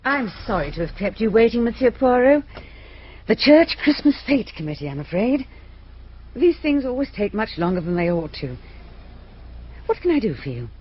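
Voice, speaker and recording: An actress playing a genteel, middle-aged British village hostess in a radio drama, polite and guarded. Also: female voice, on the high side, very animated, moderate pace, pauses often, a little hiss or hum. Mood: polite, weary. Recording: slightly muffled, roomy radio drama recording.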